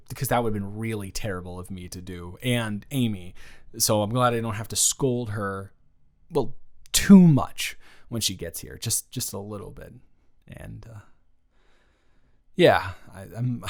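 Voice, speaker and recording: A man playing an awkward, considerate ex-boyfriend in an improvised audio roleplay, speaking conversationally in a halting, stammering way. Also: lowish voice, natural voice. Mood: awkward, amused. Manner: hesitant, halting, stammering, with nervous chuckles. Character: awkward, considerate young ex-boyfriend, nervously teasing.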